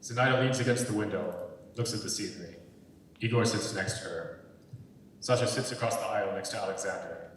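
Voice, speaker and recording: Young male stage-direction narrator, lowish voice, long hall reverb, scripted delivery. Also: fairly quick, a bit bright. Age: young adult. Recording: very echoey hall, distant mic, faint rumbling noise.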